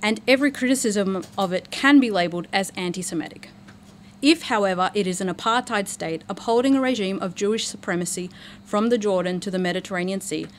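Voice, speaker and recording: A woman chairs a live forum at a middle pitch. She reads with steady emphasis over a light background hiss. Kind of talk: read speech and announcements. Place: lecture room at a public forum.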